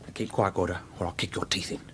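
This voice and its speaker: A man playing a menacing, thuggish agent in a radio thriller, with a rough, threatening way of speaking. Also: low-pitched, fairly even intonation, fast, sharp tone, a little hiss or hum.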